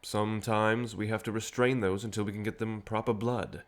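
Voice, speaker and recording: An actor playing a cheerful, self-assured, century-old vampire rebel leader in an audio drama, courteous and persuasive with a theatrical manner. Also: male voice, fairly low pitch, level tone, normal speed, close to an everyday voice. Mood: earnest, proud. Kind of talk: scripted audio drama acting.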